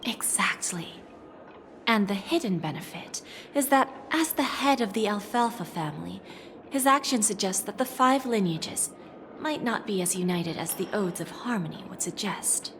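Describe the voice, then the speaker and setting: A woman voicing a poised, calculating senior executive in a video game, breathy and unhurried, coaxing like a mentor. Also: mid-range voice, animated, fairly bright tone, a little hiss or hum. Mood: calculating, composed. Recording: background music behind voice.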